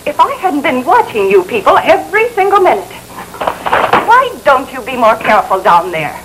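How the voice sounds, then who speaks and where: An actress playing a nosy neighbour with grievances, accusing and sarcastic, in an old training film. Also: female voice, high-pitched, very animated, normal speed. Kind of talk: vintage training film acting. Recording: noisy old film soundtrack.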